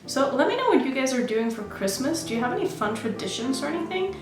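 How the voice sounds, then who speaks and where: A woman's voice: sits high, sing-song, fast, reverberant, music in the background. A woman who grew up in Norway, chatting casually while she does her makeup for a beauty vlog. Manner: chatty, self-narrating while applying makeup. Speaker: beauty vlogger.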